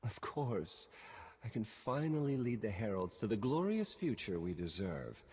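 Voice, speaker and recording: A man playing an ambitious, grandiose zealot leader in a video game with a raspy voice. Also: fairly low pitch, sing-song, a little slow, darkish tone, exaggerated voice. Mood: proud, ambitious. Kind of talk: video game cutscene acting.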